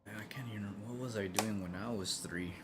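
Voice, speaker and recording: A man's voice: lowish voice, fairly quick. A man live streaming a game, giving casual, slangy, muttered reactions to the story. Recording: game music behind the voice.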